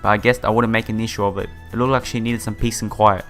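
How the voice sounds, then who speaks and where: A man's voice: on the low side, level tone, fast. A man hosting a casual let's-play, reading game text aloud and adding informal asides. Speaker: gaming video host. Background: soft game music.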